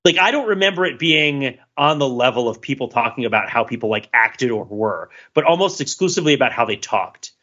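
A man from the United States chats on a podcast with a bright, forward tone. He is lively and laughs easily, stacking fillers and restarts as he thinks aloud. The audio is a little dull and lo-fi. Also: medium pitch, fairly quick, few pauses. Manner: animated, laughs often, many fillers and restarts. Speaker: podcast co-host. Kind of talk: casual chat.

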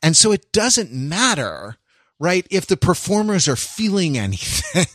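A man hosting a podcast in a casual conversational style, thinking aloud in a halting, rambling and reflective way. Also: sits high, big pitch swings, a bit bright, no echo, clean studio sound. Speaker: podcast host.

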